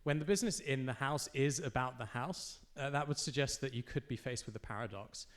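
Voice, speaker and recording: Young man posing a measured question. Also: mid-range voice. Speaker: student audience questioner. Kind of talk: prepared question at a public forum.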